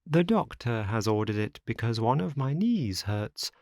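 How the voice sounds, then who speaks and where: A man's voice: mid-range voice, very animated, a little slow, a little mellow, dry recording, studio quality. A man voicing a mischievous boy in a storybook, evasive and mock-polite in manner. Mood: cagey, mock-polite.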